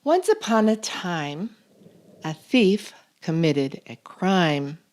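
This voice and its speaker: A woman reading a children's picture book aloud as a storytime host, expressive and deliberate, drawing out key words. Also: on the low side. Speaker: storytime reader and channel host.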